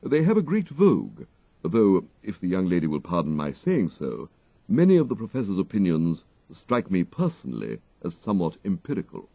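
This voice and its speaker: A man voicing a deferential, unflappable English valet in a storybook, speaking in formal, ornate, measured phrases. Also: deep voice, wildly swooping pitch, vocal fry, dark tone, dry recording, lo-fi sound, exaggerated voice. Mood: politely knowing. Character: dignified English gentleman's valet, deferential, unflappable, dryly clever.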